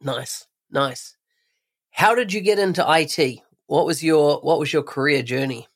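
A man's voice: a little high, a little slow. A man hosting a podcast interview, introducing and questioning in a conversational way. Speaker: podcast host.

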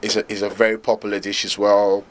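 A man's voice: fairly low pitch, quick pace. Laid-back man chatting about food. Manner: relaxed, loose, filler-heavy talk with laughs. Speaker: pop-up restaurant founder, guest. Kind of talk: casual interview chat.

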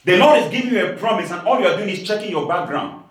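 A man's voice: high voice, expressive, fast. Pastor from Cameroon, male, emphatic sermon delivery, echoey church hall. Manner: emphatic preaching, laughing asides, rising to shouts.

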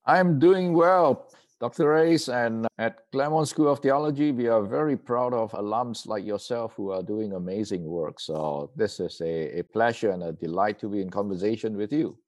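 A middle-aged man from Malaysia tells his story as an interview guest. His voice sits very low. He speaks in a reflective way, often repeating words. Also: normal speed, darkish tone. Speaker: seminary president, guest.

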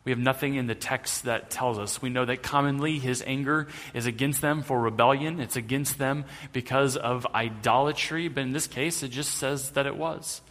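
Give me a man preaching casually from the pulpit, average pitch, with a long hall echo. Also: steady tone, moderate pace, hardly any pauses, a bit bright. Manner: conversational preaching, light humor. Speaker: pastor. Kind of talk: sermon. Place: church sanctuary.

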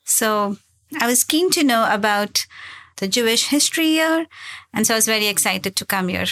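A woman's voice: fairly high pitch, expressive, normal speed, bright, forceful tone. A woman from India, a seminar participant, speaking with some hesitation.

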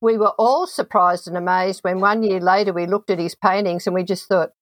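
A woman's voice: medium pitch, fairly even intonation, brisk, no echo, poor audio. A woman hosting an interview, telling stories in a reflective, conversational way. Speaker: host.

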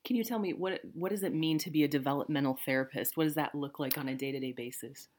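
Low-voiced woman hosting a podcast. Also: quick pace, darkish tone. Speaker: podcast cohost. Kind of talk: podcast interviewing.

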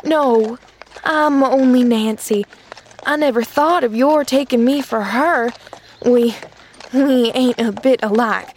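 Woman playing storybook servant woman, flustered, kind-hearted, folksy, stammering, raised pitch, faint noise behind. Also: slow. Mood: flustered, alarmed. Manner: stammering, folksy rustic speech. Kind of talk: storybook character voice.

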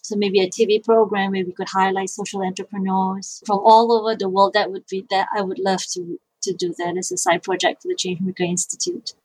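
A woman's voice: medium pitch, brisk, hardly any pauses, a little mellow, husky, no room sound, low-quality recording. A middle-aged woman from Malaysia, answering interview questions thoughtfully, often repeating words as she restarts. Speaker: guest, social enterprise founder and IP lawyer.

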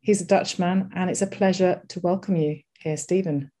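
A woman's voice: fairly low pitch, fairly even intonation, normal speed, dark tone. Woman hosting an interview. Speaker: interview host. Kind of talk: conversational interview.

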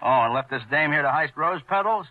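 A man's voice: a little high, lively intonation, fairly quick, lightly acted. A man playing a chummy, slangy patrolman in an old radio crime drama. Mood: brash, chummy. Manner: slangy, breezy. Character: brash, chummy male beat patrolman. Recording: thin, phone-like old radio recording.

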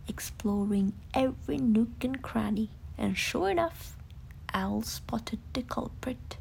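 A woman narrating a children's bedtime story in an expressive, breathy way. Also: a little high, a little hiss or hum, rough recording.

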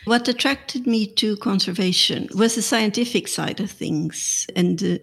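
A woman's voice: mid-range voice, unhurried, gravelly. Middle-aged Portuguese-accented woman, thoughtful interview guest, easygoing with small restarts. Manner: reflective, uses fillers and restarts. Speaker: guest, art conservator. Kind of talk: casual interview talk.

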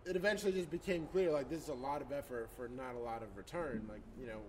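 A man's voice: medium pitch, quick pace, a little hiss or hum. A man of about twenty-five telling how his businesses started, in an upbeat, hands-on way. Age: 20s. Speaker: business co-founder guest. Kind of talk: casual podcast chat.

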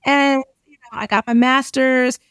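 A middle-aged woman with a fairly high voice speaks as a podcast guest. She tells her story expressively and emphatically, in casual language with frequent little check-ins. The sound is dry and close, with little room in it. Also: fairly even intonation, normal speed, clear, resonant tone, no room sound. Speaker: interview guest, occupational therapist and educator. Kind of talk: animated personal storytelling in a casual interview.

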